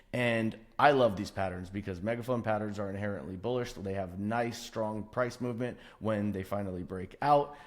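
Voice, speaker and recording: A man's voice: lowish voice, darkish tone. A man presenting an unscripted trading chart walkthrough, stressing and repeating key words and often checking in with the listener. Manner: emphatic, repeats intensifiers, checks in with "right?". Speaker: stock trading analyst presenting a chart breakdown.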